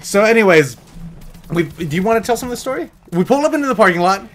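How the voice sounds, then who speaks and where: A man's voice: sits high, lively intonation, fast. An American man telling a casual story in a rambling, teasing, joking way, like a vlogger.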